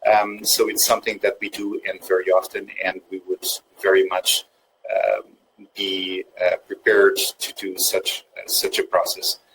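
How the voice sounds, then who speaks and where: A man's voice: low voice, monotone, unhurried, fairly bright tone, slight background noise, rough recording. A man from Portugal, a fertility doctor, answering questions on a webinar with frequent fillers and restarts. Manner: hesitant, many fillers and restarts.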